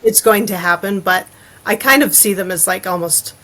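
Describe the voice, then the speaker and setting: A woman's voice: medium pitch, moderate pace, a bit bright. A woman talking casually as a podcast guest, informal and given to loose run-on asides. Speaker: interview guest, adventure fiction author.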